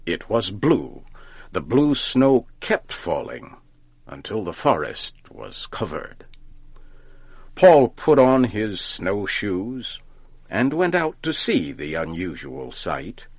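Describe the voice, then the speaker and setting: A man narrating a folk tale from a script, deliberately and with careful enunciation, as if for learners. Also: fairly low pitch, breathy edge, no room sound. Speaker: storyteller, narrator.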